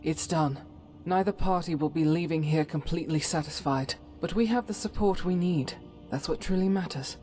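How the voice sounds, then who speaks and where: A woman's voice: deep voice, normal speed, warm tone, faint music behind, lightly acted. A woman playing a devout, stern warrior companion in a fantasy game, speaking solemnly in formal, archaic phrases. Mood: grave.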